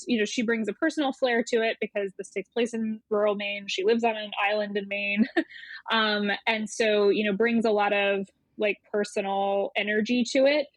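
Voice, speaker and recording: Woman podcast guest, editor type, medium pitch, conversational n emphatic, no room sound. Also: no echo. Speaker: magazine editor, interview guest. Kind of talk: casual, thoughtful interview talk.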